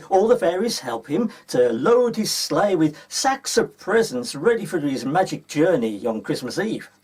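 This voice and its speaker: A man with a husky voice reading a children's story aloud as a storyteller. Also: a little high, sing-song, measured pace, a little mellow.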